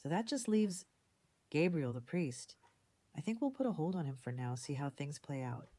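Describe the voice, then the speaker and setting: An actress playing a shrewd, composed female community leader in an audio drama, measured and articulate in manner. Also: sits low, lively intonation, warm tone. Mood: businesslike. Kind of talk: fan audio drama acting.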